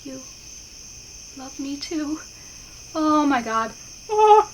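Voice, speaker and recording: A woman acts out a bashful, lovestruck best friend in an intimate audio roleplay. The voice is fairly high and unhurried, halting and stammering with flustered affection. Crickets and night ambience are clearly audible behind it. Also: big pitch swings, exaggerated voice. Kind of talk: intimate ASMR roleplay acting. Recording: clear insect and outdoor ambience noise.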